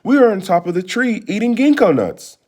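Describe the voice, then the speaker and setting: A man's voice: sits high, sing-song, brisk, a little mellow, pitched above the performer's own voice. A man voicing a clever, bold young girl, the eldest sister in a storybook, with a questioning, sing-song way of calling out. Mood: sweetly tempting.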